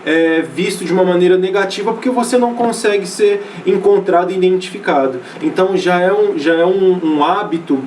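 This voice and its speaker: A man's voice: high-pitched, brisk, few pauses, darkish tone, far from the mic, a little hiss or hum. A Brazilian man teaching a sociology class in a loose, conversational way, often restarting phrases and checking in with his students. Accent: Brazilian Portuguese. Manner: casual, many fillers and restarts, checks in with students. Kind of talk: recorded online class lecture.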